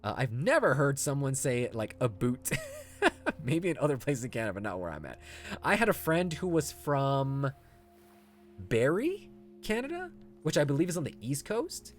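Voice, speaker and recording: A man's voice: mid-range voice, wildly swooping pitch, normal speed, slight background noise. A man casually streaming a game, easygoing and chuckling often, chatting and reading viewer comments aloud. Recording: music playing behind the voice.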